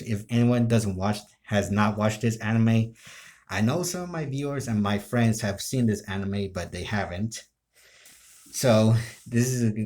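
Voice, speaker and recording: A man telling a story casually, in halting, broken phrases with frequent restarts. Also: lowish voice, darkish tone. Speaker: amateur video creator telling his role-play ideas.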